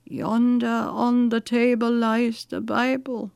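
A woman voicing a frail, pious sick widow in a weak, soft, slow and yearning storybook manner. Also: on the high side, little pitch movement, gravelly, clean tone, no room sound, clean studio sound. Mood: weary yearning.

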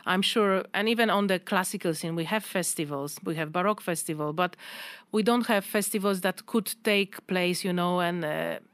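A woman's voice: on the low side, moderate pace, hardly any pauses, a bit bright, no room sound. A young woman from Poland with a Polish accent, a pianist answering interview questions thoughtfully, often restarting her phrases. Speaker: concert pianist and doctoral student guest.

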